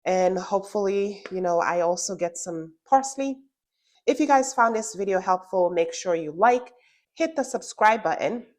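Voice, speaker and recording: A woman's voice: medium pitch, expressive, normal speed. A woman with a stuffy nose from a cold, chatting casually through a gardening how-to vlog and saying "y'all" often. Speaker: gardening vlogger.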